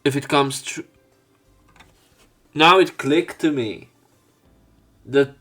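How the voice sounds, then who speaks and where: A man reading a visual novel aloud with commentary, in a theatrical, expressive way. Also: mid-range voice, takes it slowly, soft background music. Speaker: let's player narrating and commenting on a visual novel. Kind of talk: dramatic read-aloud with commentary.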